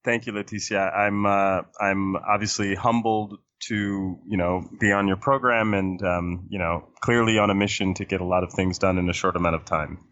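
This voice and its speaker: A man from Puerto Rico answering interview questions in a measured way, with many fillers. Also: deep voice, steady tone, fairly bright tone, highs cut off. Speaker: guest.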